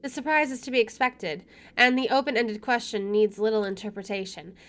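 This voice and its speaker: A woman's voice: fairly high pitch, a little fast, fairly bright tone. A woman streaming a visual novel, reading its text aloud and dropping in casual, wry asides. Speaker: video game streamer.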